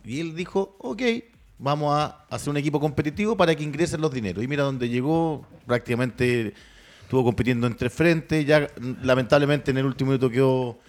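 A man's voice: medium pitch, brisk. A Chilean man joins a sports talk panel and talks through the topic conversationally. He often jumps in while the others are still talking.

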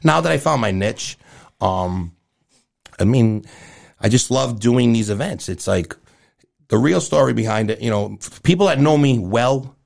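A man's voice: mid-range voice, lively intonation, measured pace, vocal fry, darkish tone. A man in his fifties talking candidly and earnestly as a guest in a casual conversational interview. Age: 50s. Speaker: guest, event promoter.

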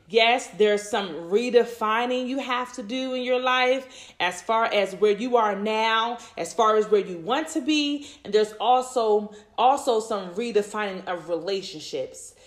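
A woman in her thirties talking to listeners about faith and relationships, rambling and repeating herself with many fillers. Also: on the high side. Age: 30s. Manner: rambling, repeats words, many "you know"s. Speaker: podcast host. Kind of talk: faith-based personal talk.

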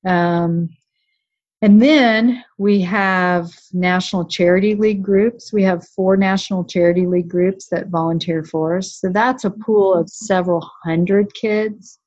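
A woman's voice: lowish voice, fairly even intonation, measured pace, darkish tone. Middle-aged woman, chatty, gives lots of examples, kinda muffled audio. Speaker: guest, co-founder parent of a charity. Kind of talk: casual interview talk. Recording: slightly dull lo-fi recording.

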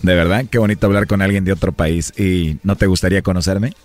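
A man with a Mexican accent, smooth-talking and flirtatious, making a prank call for a radio show. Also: low voice, fairly even intonation, quick pace. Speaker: radio prank caller posing as a suitor. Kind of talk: flirtatious prank phone chat.

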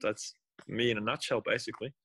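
A man's voice: fairly low pitch, steady tone, brisk, sharp tone, breathy edge, no echo, poor audio. Rambling young man, dry sound. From Belgium. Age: young adult. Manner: rambling, restarts and self-corrections. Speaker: guest winemaker. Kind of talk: casual interview.

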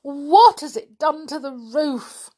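Woman as storybook aunt, gentle, worrying, soft-hearted, fretful wife. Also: sits high, expressive, takes it slowly, pitched above the performer's own voice. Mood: dismayed.

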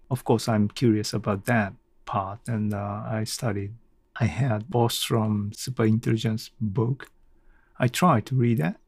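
Slow, halting man with a creaky voice. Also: medium pitch, darkish tone, no echo. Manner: halting, hesitant, searching for words. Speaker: podcast host. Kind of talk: casual solo audio blog.

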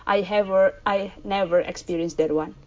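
A woman with an Indonesian accent hosting a podcast interview, friendly and quick to laugh. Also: lowish voice, steady tone, lo-fi sound. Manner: friendly, laughs often, short back-channel replies. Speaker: podcast host. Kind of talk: casual interview chat.

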